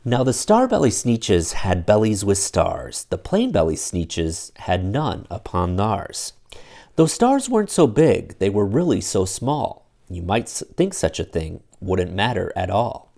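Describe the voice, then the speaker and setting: A middle-aged man reads a picture book aloud for young children. His pitch moves around a lot and has some fry in it. He is expressive and stops to explain the story in simple terms. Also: a little slow. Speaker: storytime reader.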